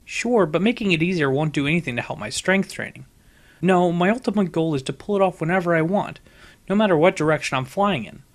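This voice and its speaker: A man's voice: high-pitched, lively intonation, brisk. A man voicing a brash, boastful young female pegasus pony in a storybook reading, cocky and emphatic. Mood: confident, eager. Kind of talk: fan fiction audiobook character voice.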